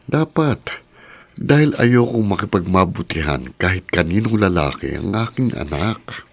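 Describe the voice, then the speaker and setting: A man's voice: sits low, lively intonation, a little slow, breathy edge, full character voice. A Filipino man playing a stern, threatening middle-aged father who is a monster in a horror radio drama. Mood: stern, suspicious. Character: menacing, overbearing father who turns into a manananggal monster.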